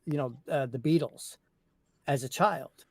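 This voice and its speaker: A man chatting casually, telling stories in a slow, reflective way. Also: mid-range voice, gravelly, no room sound. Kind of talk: casual chat.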